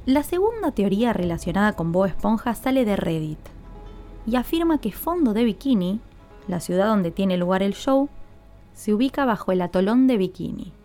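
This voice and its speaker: Mellow woman narrating a podcast. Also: medium pitch, big pitch swings, moderate pace, faint music behind. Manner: explanatory, addresses listeners directly. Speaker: podcast host.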